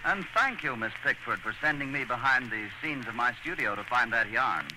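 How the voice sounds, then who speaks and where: A man's voice: medium pitch, animated, moderate pace, music in the background. A man hosting a radio show in formal, theatrical 1930s announcing style. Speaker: radio show host and narrator. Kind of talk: 1930s radio announcing, dramatic scripted narration. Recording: noisy vintage radio broadcast.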